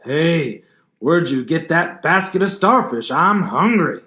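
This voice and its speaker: A man's voice: a little high, lively intonation, slow, sharp tone, heavily acted. A man voicing a sly, greedy big bad wolf in a puppet show.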